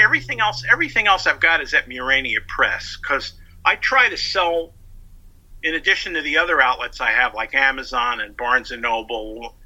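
A man's voice: mid-range voice, sharp tone. A man speaking as a guest author and historian, explaining at length in an interview. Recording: dull phone-like lo-fi line.

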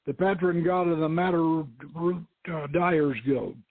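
A man's voice: on the high side, unhurried. A man voicing a confident, flirtatious female archaeologist in a teasing, poised way. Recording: tinny band-limited radio stream, roomy echo.

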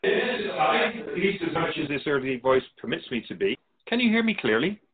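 A thin, phone-like radio drama recording carries an otherworldly male keeper of souls, acted by a man. His voice sits a little high and moves in lively swoops. He is courteous and patient, explaining in measured, formal phrases. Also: slightly rough. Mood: courteous, eager. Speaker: guest on a radio show. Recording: thin phone-like band-limited audio.

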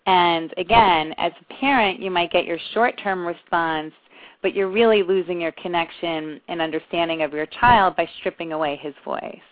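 A woman with a fairly low voice chats loosely on a podcast, trailing off and laughing at her own slips. The audio is narrow and tinny. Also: normal speed. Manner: rambling, chatty, laughs at herself. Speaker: podcast co-host. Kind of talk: casual podcast chat. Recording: tinny phone-like line.

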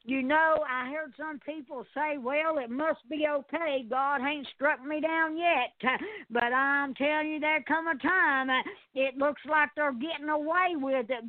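A woman's voice: sits high, dry recording, phone-quality sound. A woman preacher delivering an exhorting sermon, often punctuated with short interjections of praise.

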